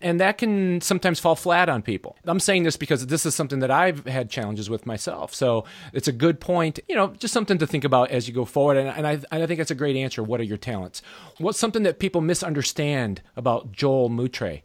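A man's voice: fairly high pitch, lively intonation, fairly quick, no echo. A man interviewing a guest, asking questions in an encouraging, conversational way. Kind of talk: casual interview chat.

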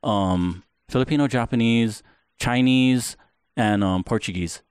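A man's voice: medium pitch, a little slow, a little mellow, clean tone, no room sound. A man in his forties from Hawaii, with a light Pidgin accent, chatting casually as a podcast guest, laid-back, with lots of fillers and swearing. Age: 40s.

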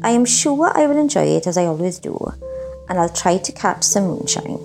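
A woman's voice: fairly low pitch, sing-song, normal speed, vocal fry, music in the background. A woman presenting a literary showcase, introducing pieces and reading her own reflective writing in a scripted style.

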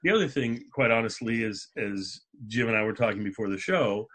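A man's voice: lowish voice, animated, fairly quick, fairly bright tone. A man hosting a podcast in casual chat, talking in an informal, joking way. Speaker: podcast host.

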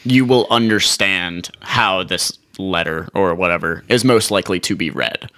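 A man's voice: low-pitched, normal speed, bright, forceful tone. A man in laid-back podcast chat who swears casually and laughs easily.

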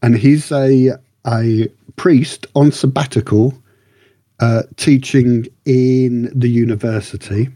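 Male podcast reviewer, husky and mellow, chatty, rambling delivery with keen interest. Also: mid-range voice, steady tone, takes it slowly, no echo. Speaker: podcast co-host reviewing books and radio dramas. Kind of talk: casual review chat.

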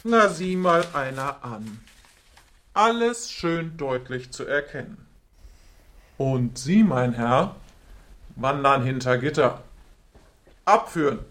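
A man's voice: mid-range voice, animated, slow, long pauses, fairly bright tone. A man playing a confident policeman uncle, genial but firm, in an amateur radio play. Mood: stern, satisfied.

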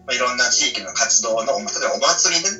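A man on a casual talk radio show, politely laying out an idea at length. His voice is bright and forceful and swings up and down. He sounds a little off-mic in a roomy space, with a lo-fi sound and soft music underneath. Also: medium pitch, expressive, far from the mic. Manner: explains ideas at length, polite and measured. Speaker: co-host.

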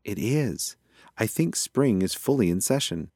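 An obliging young mouse from a children's storybook, voiced by a man. He is polite and agreeable. His intonation is animated, in a close, dry recording. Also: fairly low pitch, unhurried, gravelly, no echo, exaggerated voice. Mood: easygoing. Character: obliging, good-natured young male mouse nephew.

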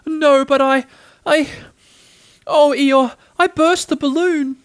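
Tiny nervous piglet for a kids story, way high voice, sorry and stammery, so earnest. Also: male voice, fairly even intonation, slow, no echo, studio quality. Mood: anxious, sorry. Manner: hesitant, apologetic. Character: small timid anxious piglet, squeaky and earnest.

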